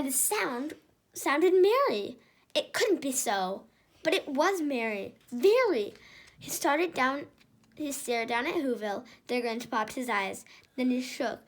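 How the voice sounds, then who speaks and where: High-pitched woman reading a storybook. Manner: expressive, chatty, reacting to the pictures. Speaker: storyteller reading a picture book aloud. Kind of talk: children's read-aloud with casual asides.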